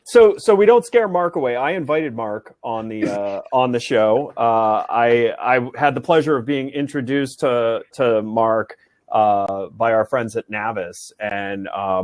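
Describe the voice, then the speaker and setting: Halting male co-host, dry sound. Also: on the low side, no room sound. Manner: friendly, halting, many uhs. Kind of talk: casual introduction.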